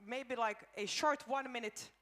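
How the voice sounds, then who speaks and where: A woman's voice: a little high, normal speed, sharp tone. A woman choreographer moderating a panel discussion. She talks in a searching way, building lists and restarting her phrases. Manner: thoughtful, searching with fillers and repeated lists.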